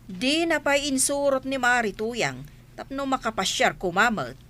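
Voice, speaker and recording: A woman's voice: on the high side, lively intonation, unhurried, a bit bright. A woman playing a blunt, scolding village gossip in an Ilocano comedy radio drama, questioning and emphatic. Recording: faint background noise.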